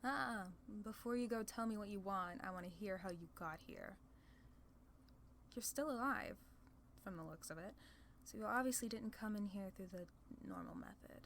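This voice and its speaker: Girl doing a smug demon queen for asmr roleplay, teasing and bossy, mid pitch, bit of background noise. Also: normal speed, fairly bright tone, natural voice. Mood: sly curiosity. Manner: condescending, playful, theatrical. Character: haughty, teasing female demon lord, amused and sly.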